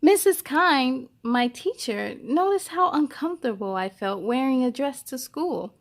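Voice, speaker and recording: A woman's voice: high-pitched, lively intonation, a little slow. A woman hosting a children's story time, reading aloud expressively with chatty asides and speaking encouragingly straight to young listeners.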